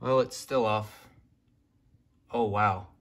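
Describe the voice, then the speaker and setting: A man's voice: fairly low pitch, steady tone, slow. A man giving casual, off-the-cuff commentary in short, slangy remarks. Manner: short interjections and slangy muttering. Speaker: weather radio hobbyist filming. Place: indoors in a home room. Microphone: handheld camera or phone, behind it. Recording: noisy room with radios playing. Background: weather radio broadcasts.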